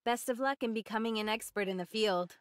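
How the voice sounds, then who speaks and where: A woman's voice: a little high, fairly quick, no room sound, studio quality, natural voice. A woman playing a brash, easygoing young woman fighter in a video game cutscene, with casual, wry, slangy delivery. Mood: teasing. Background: music.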